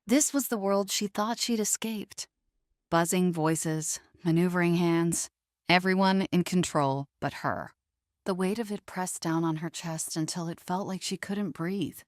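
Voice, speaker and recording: A woman reading scripted dramatic fiction as narrator, in a measured storytelling manner. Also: on the low side, dry recording, studio quality.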